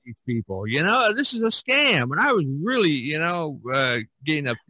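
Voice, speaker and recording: A man's voice: fairly high pitch, big pitch swings, normal speed, pushed and bright, slightly rough, no echo. A man hosting a casual podcast discussion, telling his story in a rambling, emphatic way. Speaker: podcast host and artist.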